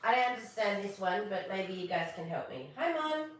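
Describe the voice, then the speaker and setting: A woman hosting a casual live storytime, chatting and reading aloud in a playful, expressive manner for children. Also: medium pitch, moderate pace. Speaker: live stream storytelling host. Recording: very echoey room, distant from mic.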